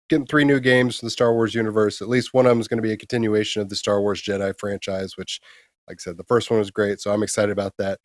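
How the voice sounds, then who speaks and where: A man's voice: lowish voice, no echo, clean studio sound. A man co-hosting a casual news show, explaining things informally and giving his opinions. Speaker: co-host of a gaming news show.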